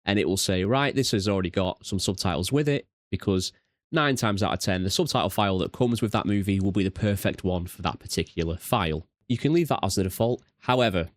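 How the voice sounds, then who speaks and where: A British man hosting a software tutorial, talking informally and self-deprecatingly through a step-by-step walkthrough. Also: low-pitched, fairly quick, dry recording, clean studio sound. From United Kingdom. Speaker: tutorial host. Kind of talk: casual software walkthrough narration.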